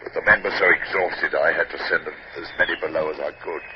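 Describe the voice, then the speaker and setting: An actor playing a loyal, plain-spoken first officer who speaks deferentially in a 1950s radio drama. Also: male voice, very deep voice, fairly even intonation, fast. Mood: tired, grave. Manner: respectful, matter-of-fact. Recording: muffled, hissy old radio recording.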